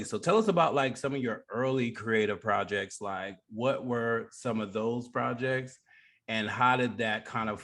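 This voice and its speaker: A man's voice: lowish voice, fairly bright tone. A man hosting a radio interview show, speaking in an upbeat, conversational way to his guest and listeners.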